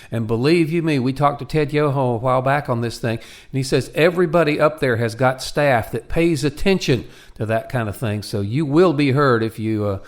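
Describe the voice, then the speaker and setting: A man co-hosting a radio program in casual promotional chat, plain-spoken and conversational with folksy turns of phrase. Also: medium pitch.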